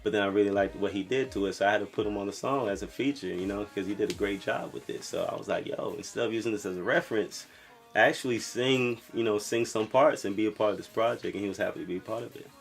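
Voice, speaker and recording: A young man from Brooklyn, New York, a singer-songwriter talking casually about his music with a soft voice and lots of "you know"s. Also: on the low side, quick pace, creaky. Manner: relaxed, rambling, lots of fillers like "you know".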